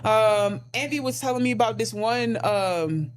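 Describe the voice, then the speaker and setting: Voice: medium pitch, measured pace. A co-host in a casual stream discussion, talking in a rambling way with many ums and restarts. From United States.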